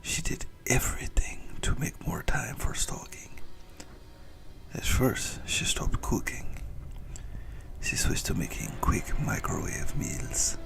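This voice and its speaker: A man voicing an obsessive, doting vampire in an intimate ASMR roleplay, with a breathy whisper and theatrical, adoring relish. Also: medium pitch, huge pitch swings, slow pace, full character voice. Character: obsessive lovesick male yandere vampire, doting, gleefully indulgent.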